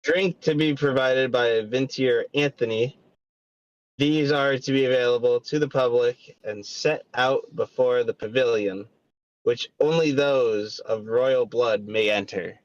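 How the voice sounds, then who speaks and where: Town crier, male reader: unhurried, measured, official proclamations for a table read, on a dry, muffled recording. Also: medium pitch, fairly even intonation, dry recording, natural voice. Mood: formal. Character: official crier announcing a royal festival. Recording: dull lo-fi audio.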